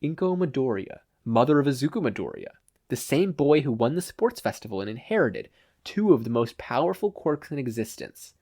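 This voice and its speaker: A man reads fiction aloud in a medium-pitched voice. His delivery is scripted storytelling, in the manner of an amateur audiobook narrator. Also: very animated, a little fast, mellow. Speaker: podfic narrator.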